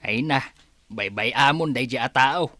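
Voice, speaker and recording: A woman's voice: rumbling low, measured pace, bright, forceful tone, no room sound, full character voice. A woman voicing a stern, unforgiving old father in an audio drama, dismissive and grumbling. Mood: stern, bitter.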